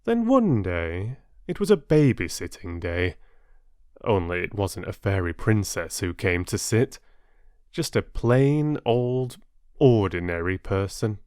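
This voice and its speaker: A man narrating a children's story expressively as a storyteller, in a measured way with dramatic pauses. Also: lowish voice, big pitch swings, a little mellow, clear, resonant tone, dry recording, clean studio sound.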